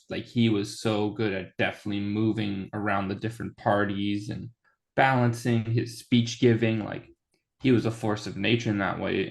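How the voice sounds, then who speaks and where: A man's voice: lowish voice, creaky. A man co-hosting a casual history podcast, teasing his partner and giving wry opinions. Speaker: podcast co-host.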